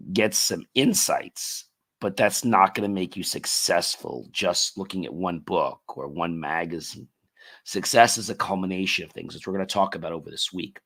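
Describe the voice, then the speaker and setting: Raspy low-voiced man hosting a podcast. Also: fairly bright tone. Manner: earnest, thankful, repeats key words. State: voice worn from long talking. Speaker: podcast host. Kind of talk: solo motivational podcast talk.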